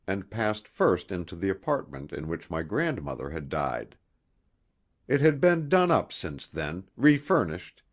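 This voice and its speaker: A man narrating a literary horror story as an audiobook, in a measured and expressive manner. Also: deep voice.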